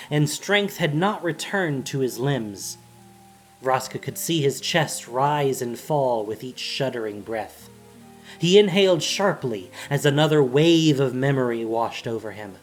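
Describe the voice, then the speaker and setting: A narrator reading a scripted fantasy story in a dramatic storytelling style. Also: mid-range voice.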